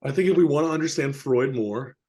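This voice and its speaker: A man's voice: mid-range voice, fairly quick, warm tone, slightly rough. Slangy, informal man chatting about film. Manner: slangy, informal, filler-heavy. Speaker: film podcast co-host. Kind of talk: casual film discussion.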